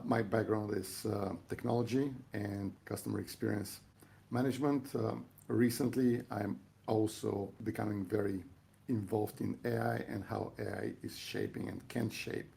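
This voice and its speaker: A man explaining things on a podcast in a halting, deliberate way with formal wording. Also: fairly low pitch, vocal fry, dark tone, slightly rough. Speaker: company chief executive on a podcast.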